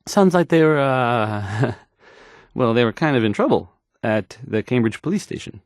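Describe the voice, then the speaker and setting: An actor playing a nervous, bumbling, insecure male radio DJ in a video game, with a halting, stammering, unsure delivery. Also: mid-range voice, lively intonation, dry recording, clean studio sound. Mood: awkward, unsure. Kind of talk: in-character radio news broadcast, video game voice acting.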